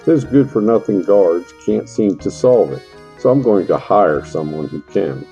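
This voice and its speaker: Deep, dark-voiced grumbling farmer, played by a man. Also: lively intonation, a little slow, lo-fi sound, faint music behind. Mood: indignant. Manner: folksy, colloquial grumbling with mild country oaths. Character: grumbling, folksy middle-aged farmer, fed up with useless guards. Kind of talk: audio drama fantasy acting.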